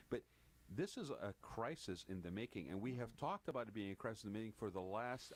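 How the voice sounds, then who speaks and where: A quick-talking man in his fifties with a somewhat low voice, speaking as a co-host in a relaxed panel discussion. He keeps restarting his phrases and tags points with "right?". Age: 50s. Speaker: podcast co-host.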